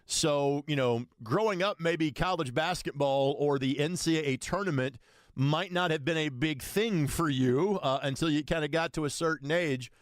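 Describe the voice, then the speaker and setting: A man's voice: on the high side, moderate pace, no room sound, studio quality. A man hosting a sports radio show, interviewing a guest in a conversational way.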